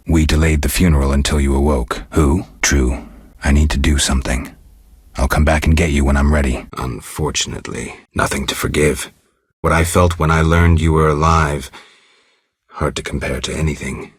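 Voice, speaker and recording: World-weary male monster hunter for a fantasy game. Rock-bottom pitch, restrained terse delivery, close dry sound. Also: no room sound, exaggerated voice. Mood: low, reserved. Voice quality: gravelly, husky. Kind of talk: video game character dialogue.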